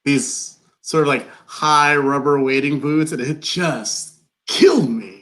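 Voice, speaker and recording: A man's voice: fairly high pitch, takes it slowly, a bit bright. A man playing an incredulous, fixated fellow in a play reading, disbelieving and given to wistful digressions. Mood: wistful, fascinated. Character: incredulous, fixated man hearing gossip about an old neighbor.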